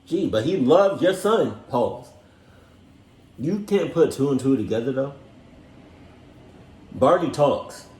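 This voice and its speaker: A man's voice: mid-range voice, animated, slow pace, long pauses, a little mellow, soft background music. A man hosting a reaction video with blunt, slangy, repetitive casual commentary. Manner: blunt, slangy, repeats himself. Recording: echoey room.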